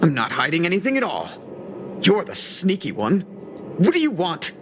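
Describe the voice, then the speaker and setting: Shifty defensive game character, high voice jumping around, grumbly, music behind. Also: male voice, wildly swooping pitch, moderate pace, noisy background. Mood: defensive, suspicious. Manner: suspicious, protesting, grumbling. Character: shifty, defensive male space station worker. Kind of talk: scripted game dialogue.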